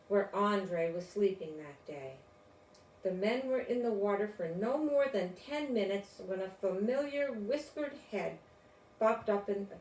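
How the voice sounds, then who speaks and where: An older woman reading a children's storybook aloud in a deliberate, expressive way, with informal asides. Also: mid-range voice. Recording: roomy, distant mic, faint noise.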